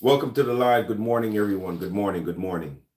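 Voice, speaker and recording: A man's voice: lowish voice, fast talker, a little mellow, reverberant. A man from Jamaica with a Jamaican accent, hosting a casual livestream, greeting viewers by name with many ums. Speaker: host of a gun-talk livestream. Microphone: laptop or camera mic.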